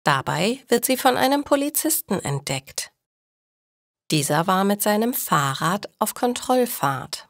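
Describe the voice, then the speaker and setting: A woman reading scripted stories slowly and clearly for language learners. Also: medium pitch, big pitch swings, no room sound, clean studio sound. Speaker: narrator of German learning stories. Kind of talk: slow, clear scripted story narration for learners.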